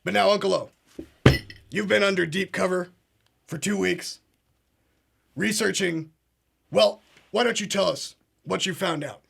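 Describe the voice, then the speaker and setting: A man's voice: high voice, a little slow, vocal fry, pauses often, breathy edge, full character voice. A man playing a blustering male conspiracy talk-show host, pompous and fond of repeating himself. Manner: pompous, keeps saying "absolutely" and "ladies and gentlemen". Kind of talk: comedic parody show.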